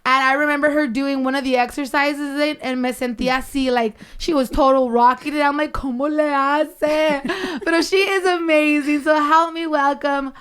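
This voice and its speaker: A woman's voice: high voice, steady tone, moderate pace, few pauses, a bit bright. A woman hosting a casual podcast in an enthusiastic way, slipping Spanish words into her talk. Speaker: podcast host.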